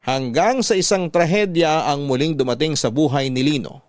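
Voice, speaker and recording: A man narrating a scripted letter in classic radio storytelling style, reflective and measured. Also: fairly high pitch, no echo. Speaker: storyteller reading a listener's letter. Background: music.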